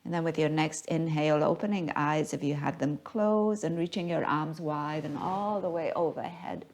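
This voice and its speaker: A woman guiding a yoga class as the teacher, speaking in a gentle, unhurried, soothing manner. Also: deep voice. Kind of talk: guided yoga class instruction.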